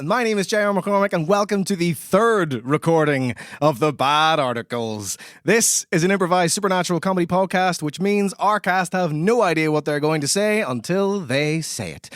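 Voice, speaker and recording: A man hosting a comedy podcast in a lively, theatrical, playful showman's manner. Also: sits high, dry recording, studio quality. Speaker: podcast host.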